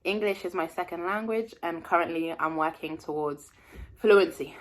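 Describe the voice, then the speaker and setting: Young woman doing a casual read-along, lowish voice, stops to guess words. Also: poor audio. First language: French. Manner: reads slowly, pauses to translate and guess words. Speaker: language learner reading aloud.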